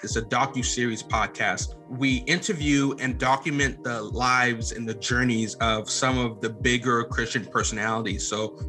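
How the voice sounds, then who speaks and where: A man talking casually as a podcast guest, thoughtful and a little halting. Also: mid-range voice, fairly even intonation, sharp tone, faint music behind. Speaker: podcast guest, producer and director. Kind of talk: casual chat.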